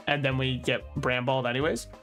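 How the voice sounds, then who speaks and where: A man's voice: mid-range voice, flat delivery, fairly quick, a bit bright, faint music behind. A young man from the United States streaming a video game, rambling and joking at his own expense as he plays. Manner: joking, rambling, self-mocking. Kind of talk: casual gameplay commentary.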